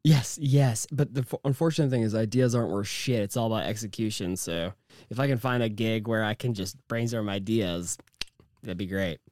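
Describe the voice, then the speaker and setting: A man with a naturally deep voice, co-hosting a casual podcast chat, jokey and crude with lots of halting fillers. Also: a little mellow, no echo. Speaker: podcast co-host.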